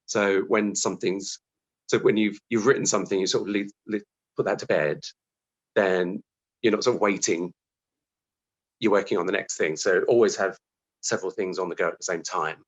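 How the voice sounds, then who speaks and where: A man's voice: sits low, steady tone, normal speed, pauses often, dull audio. A British man answering interview questions, talking reflectively and conversationally about his own working life, often acting out his thoughts. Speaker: interview guest, TV writer.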